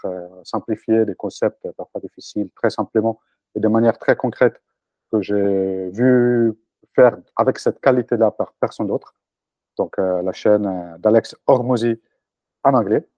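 Hesitant Italian man, low dark voice. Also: no echo, muffled recording. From Italy. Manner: hesitant, frequent fillers and restarts. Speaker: interview guest, entrepreneur. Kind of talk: casual interview answers.

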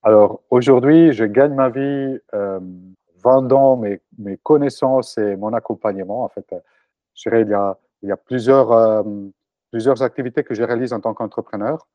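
A man's voice: mid-range voice, moderate pace, dark tone, no echo. Italian man in his forties, entrepreneur guest; dry, slightly dull recording. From Italy. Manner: hesitant, frequent fillers and restarts. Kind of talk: casual interview answers.

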